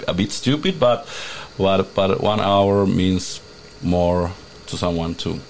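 Very deep-voiced Finnish podcast host. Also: male voice, steady tone, vocal fry, a little hiss or hum. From Finland. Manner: halting, repeats words often. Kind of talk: casual chat.

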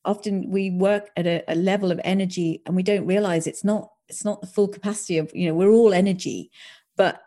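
A woman's voice: medium pitch, fairly even intonation, a little fast, dark tone. A woman hosting a podcast, talking in a reflective, affirming way as she responds to her guest. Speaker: podcast host and energy coach. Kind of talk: casual conversational interview.

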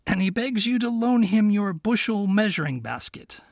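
A man's voice: sits high, lively intonation, moderate pace, vocal fry, no echo, studio quality, voice pushed up. A man voicing a clever, scheming male fox who talks in a coaxing, confiding way in a storybook tale. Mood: sly courtly.

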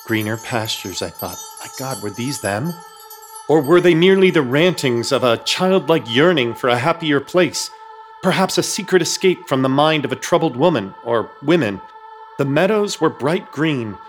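Man narrating a horror comedy. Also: on the high side. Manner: wry, storytelling.

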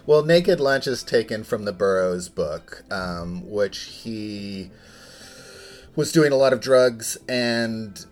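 A man co-hosting a podcast, explaining things casually in a halting, loosely rambling way. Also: on the low side, lively intonation, soft background music. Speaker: podcast co-host.

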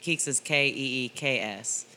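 A woman's voice: very deep voice, measured pace, bright, forceful tone. A woman performer chatting in a jokey, informal way as a guest on a podcast. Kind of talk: casual podcast chat.

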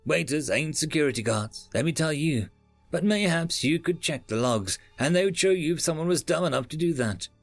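A man's voice: fairly high pitch, animated, moderate pace, creaky, no room sound, faint music behind, voice pushed up. A man voicing a boastful, chatty male chef who rambles in folksy slang.

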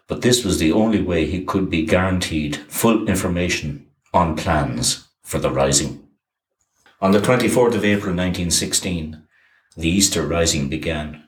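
A man giving a scripted documentary narration in an even, measured reading. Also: low-pitched, echoey room.

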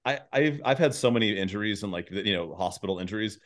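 A man with a deep, low voice hosts a casual conversation. He feels his way through each thought, stopping and restarting as he rambles along in an informal interview style. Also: lively intonation. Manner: halting, rambling delivery. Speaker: podcast host.

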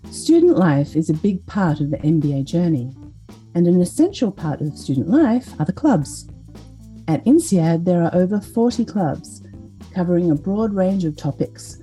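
A woman hosting a podcast, reading a smooth scripted introduction. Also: lowish voice, dark tone, poor audio. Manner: smooth read promotional delivery. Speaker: podcast host. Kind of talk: scripted narration. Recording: faint music behind voice.

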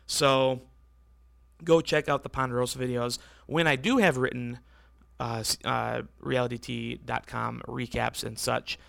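Hoarse, gravelly male podcast host. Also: medium pitch, fairly bright tone, no room sound. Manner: rambling, self-correcting, conversational. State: losing his voice, hoarse. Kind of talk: casual solo podcast recap.

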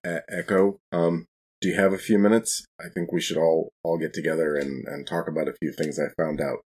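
A man's voice: very deep voice, flat delivery, fairly quick, darkish tone, slightly rough. A man playing a tabletop role-playing game, talking casually and a little hesitantly in character.

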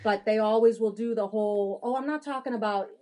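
Candid woman with a fairly high voice. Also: a little fast, warm tone. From Haiti. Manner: candid, frequent restarts and laughs. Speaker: guest, adoption consultant. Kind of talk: casual interview conversation.

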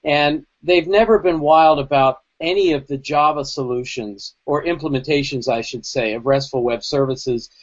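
A man's voice: a little high, normal speed, hardly any pauses. A man co-hosting a casual tech podcast, talking in long rambling thoughts full of asides over a video call. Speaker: podcast co-host. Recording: dull lo-fi video call audio.

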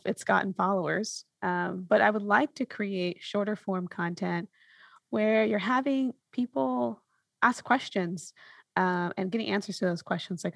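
A woman's voice: lowish voice, vocal fry, no room sound. A woman hosting a podcast, talking casually and reflectively, thinking through her points with lists and examples. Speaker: podcast host.

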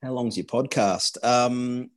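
A man's voice: medium pitch, fairly even intonation. A man with an Australian accent, a corporate lawyer from Western Australia, answering interview questions with many restarts and fillers. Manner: halting, frequent restarts and fillers. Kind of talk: podcast interview.

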